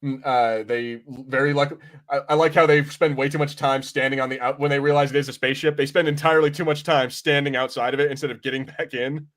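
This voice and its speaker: A man's voice: medium pitch, fairly even intonation, fast talker, fairly bright tone. A man hosting a podcast, chatting casually in a rambling way and acting out imagined lines as he reviews. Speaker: podcast host.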